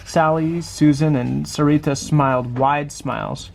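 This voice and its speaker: Male storybook reader, fairly high pitch, children's read-aloud, conversational asides. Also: fairly even intonation, measured pace, creaky, a little hiss or hum.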